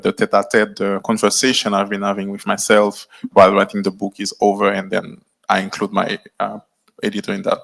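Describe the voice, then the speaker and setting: Low gravelly guy interpreting french into english, flat steady voice. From Haiti. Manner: measured, rephrasing the guest's words in English. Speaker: moderator and interpreter. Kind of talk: live consecutive interpreting. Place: live event before an audience.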